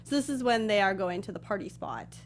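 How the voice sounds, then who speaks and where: A woman's voice: medium pitch, big pitch swings, fairly quick, a little hiss or hum. An American woman co-hosting a review show in casual, conversational discussion. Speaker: co-host of a film review show. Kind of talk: casual panel chat and review.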